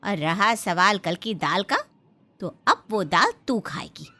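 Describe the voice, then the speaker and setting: A woman voicing a bossy, sharp-tongued older housemaid who scolds and gives orders like a mother-in-law in a story. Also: mid-range voice, animated, slow pace, exaggerated voice. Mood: angry, threatening. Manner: commanding, scolding.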